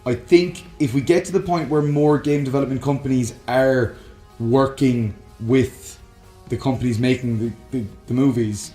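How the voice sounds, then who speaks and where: A man's voice: mid-range voice, fairly even intonation, moderate pace, warm tone, echoey room, soft background music. A man co-hosting a casual, jokey banter show about movies, often chuckling as he talks. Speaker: co-host of a film discussion show.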